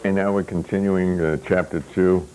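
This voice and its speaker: A man reading a novel aloud as its author, with wry, deliberate phrasing and hesitant asides. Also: low voice, little pitch movement, mellow, slight background noise, low-quality recording. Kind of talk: literary reading with spoken asides.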